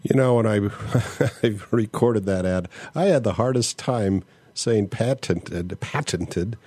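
A man hosting a casual podcast, talking in a relaxed, conversational way and reading listener emails aloud. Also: on the low side, takes it slowly, husky, dry recording. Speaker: podcast host. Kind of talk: casual solo podcast with ad read and listener mail.

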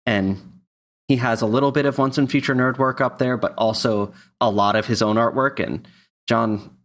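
A man's voice: mid-range voice, steady tone, moderate pace, a bit bright. A man co-hosting a podcast, chatting casually and reading out announcements. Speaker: podcast co-host.

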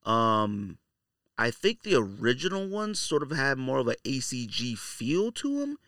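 A man's voice: mid-range voice, big pitch swings, measured pace, bright, forceful tone, no room sound, studio quality. A man hosting a podcast with casual, opinionated commentary in slangy, colloquial speech, repeating phrases for emphasis. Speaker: podcast host.

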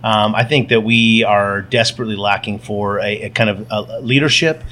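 A man's voice: on the low side, fairly even intonation, normal speed, bright, forceful tone. A man in casual podcast chat, telling stories and tossing in Spanish words. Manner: chatty storyteller, tosses in Spanish words.

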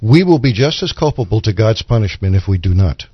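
A man's voice: fairly low pitch, sing-song, fairly quick, slightly rough, dry recording. A man speaking as a guest commentator in a radio Bible discussion, explaining didactically and quoting scripture. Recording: slightly dull, band-limited radio sound.

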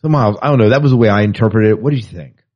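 A man's voice: fairly low pitch, very fast. A man co-hosting a podcast, chatting casually and with a fan's enthusiasm about entertainment news, on a lo-fi recording. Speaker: podcast co-host. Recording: dull, lo-fi podcast audio.

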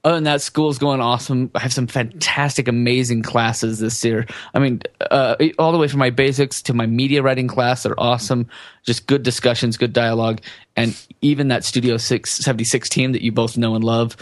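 A man's voice: mid-range voice, fairly even intonation, a little fast, no room sound. Upbeat young man chatting, dry close sound. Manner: upbeat, informal. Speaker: podcast host. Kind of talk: casual podcast chat and news rundown.

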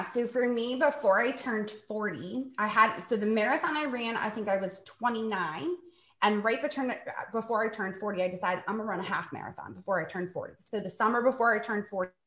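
A woman's voice: medium pitch, a bit bright. Chatty woman in her forties, echoey room. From New York. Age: 40s. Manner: chatty storytelling, laughs while acting out quotes. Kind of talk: casual podcast chat.

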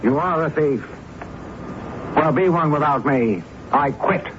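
A man's voice: on the high side, lively intonation, a little slow, a bit bright. A man playing a dry, evasive, self-righteous drama critic in a 1970s radio drama. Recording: dull, noisy 1970s radio recording.

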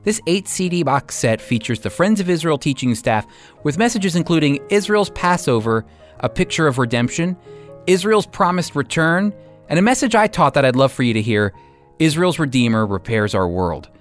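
A man teaching and commenting as a radio preacher and host, speaking emphatically and deliberately with weighty pauses. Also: fairly high pitch, big pitch swings, soft background music.

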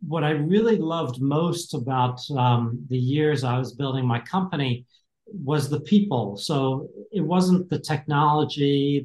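A man's voice: mid-range voice, darkish tone. An American man in his fifties, an executive coach speaking as an interview guest in a reflective way, with many fillers and restarts. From United States. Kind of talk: casual interview talk. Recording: roomy echo.

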